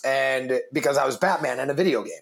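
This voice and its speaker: Deep-voiced guy in his 30s, casual interview talk, swears a lot, kinda emphatic, restarts his sentences. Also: fast talker, creaky. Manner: profane, emphatic, repeats words when starting thoughts. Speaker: guest, business founder and father.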